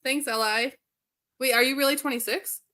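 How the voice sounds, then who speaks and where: A woman co-hosting a sports podcast livestream, sarcastic and quick to push back in casual banter. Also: sits high, steady tone, background noise. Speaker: podcast co-host.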